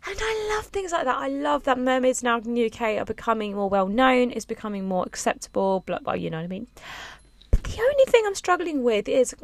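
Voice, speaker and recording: A young woman hosting a casual solo podcast, rambling and chatty with sighs and filler words, her voice lower and a little husky after a late night. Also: sing-song, brisk. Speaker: podcast host. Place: on a boat, small space.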